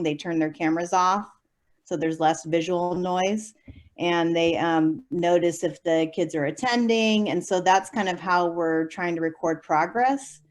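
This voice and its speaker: A young adult woman, a teacher, answering interview questions in a chatty way with many fillers and light laughs. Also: fairly low pitch. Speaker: special education preschool teacher.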